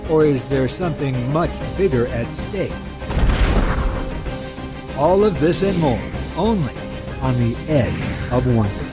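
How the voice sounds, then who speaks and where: A man's voice: medium pitch, animated, slow, pauses often, over loud music. A man delivering dramatic scripted narration for a show's introduction.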